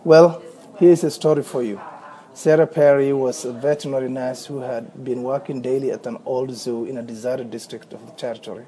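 A man's voice: mid-range voice, steady tone, fairly quick, dark tone, low-quality recording. A man in his thirties from Somalia with a Somali accent, reading a short passage aloud and then talking freely, often hesitating and repeating words. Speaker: accent archive speaker.

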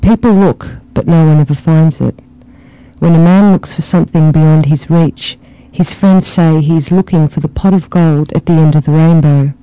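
A man with a Melbourne Australian accent, reading a short passage and then answering interview questions in a relaxed way, with frequent ums and you-knows. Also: on the high side, moderate pace, clear, resonant tone, no echo. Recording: dull lo-fi archive recording.

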